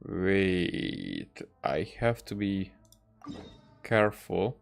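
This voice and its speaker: A man giving casual, rambling game commentary as a streamer, speaking haltingly and often repeating and restarting his phrases. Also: sits low, wildly swooping pitch, gravelly, soft background music. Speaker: livestreamer playing a game.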